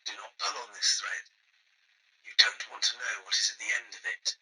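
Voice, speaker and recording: A man playing a menacing anonymous caller in an audio drama, giving a slow, ominous, commanding warning on voicemail. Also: fairly low pitch, little pitch movement, bright, forceful tone. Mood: cold threat. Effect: voicemail recording. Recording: harsh, faintly noisy voicemail.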